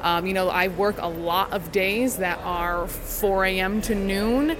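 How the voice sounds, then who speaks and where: A woman's voice: mid-range voice, steady tone, measured pace, crowd noise. A woman from the United States, a sports broadcaster being interviewed, telling her story in an earnest, articulate, conversational way.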